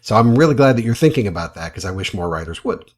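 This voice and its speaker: A man's voice: on the low side, big pitch swings, fast. A man, chatting as a podcast host in a conversational interview.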